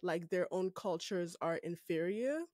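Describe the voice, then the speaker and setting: A woman's voice: on the low side, a little slow, a little mellow, no echo, clean studio sound. A woman from Nigeria with a Nigerian accent, hosting a podcast and musing thoughtfully, with easy laughs. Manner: thoughtful, questioning, laughs easily. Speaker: podcast host. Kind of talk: casual conversation.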